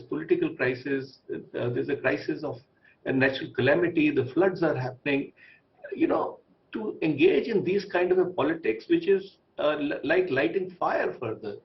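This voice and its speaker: Emphatic Indian man, slightly high voice. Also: moderate pace, highs cut off. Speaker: former ambassador as panelist. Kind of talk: live TV debate commentary.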